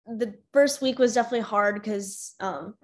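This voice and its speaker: A young American woman hosting a casual video-call discussion, talking with many fillers and soft laughs. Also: medium pitch, moderate pace. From United States. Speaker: discussion host. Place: home room with bookshelves. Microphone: webcam on a video call.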